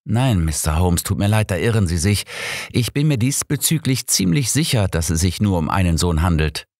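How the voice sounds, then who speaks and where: A man's voice: sits low, expressive, moderate pace, a little mellow, dry recording, clean studio sound. Man voicing an earnest, officious police inspector. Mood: assertive.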